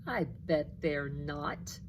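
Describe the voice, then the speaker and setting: Haughty storybook tomcat, female reader: lowish, slow, sharp-tongued, mocking and mean-spirited. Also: background noise. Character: haughty, sneering male house cat, mean-spirited. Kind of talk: children's storybook character voice.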